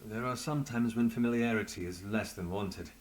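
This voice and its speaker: An actor playing a weary, dry-witted inspector in a fantasy game, speaking in measured, formal phrases. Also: male voice, fairly low pitch, lightly acted. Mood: sombre. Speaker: game character. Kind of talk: scripted fantasy game dialogue. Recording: light background ambience and noise.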